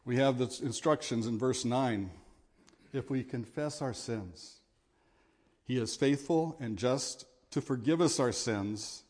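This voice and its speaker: A middle-aged man with a big voice preaching a sermon, earnest and at times forceful in his exhortations. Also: medium pitch.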